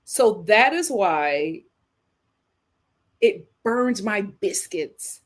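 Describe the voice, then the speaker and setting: A woman hosting a casual livestream, chatting and giving opinions in a theatrical, playful, emphatic manner with drawn-out words. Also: mid-range voice, lively intonation. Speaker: livestream host reacting to viewer messages. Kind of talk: casual live chat and opinion commentary.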